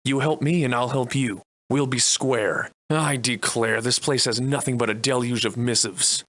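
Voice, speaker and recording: An actor playing a wry, defiant Victorian-era adventurer and monster slayer in a video game, soliloquizing in florid, old-fashioned diction. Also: male voice, medium pitch, moderate pace, fairly bright tone, lightly acted. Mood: wry, musing. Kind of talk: theatrical video game voice lines in archaic English.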